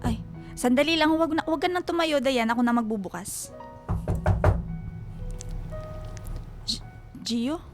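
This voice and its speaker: A woman's voice: sits high, fairly quick, music in the background, close to an everyday voice. A woman playing a protective, fussing best friend in a radio drama, exclaiming with concern. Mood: cheerful, curious. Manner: animated, exclaiming, scolding concern.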